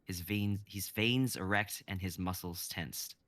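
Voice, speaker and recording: A man narrating a script and its stage directions in an even, descriptive way. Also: low voice, a little slow, dry recording. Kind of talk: reading a script aloud.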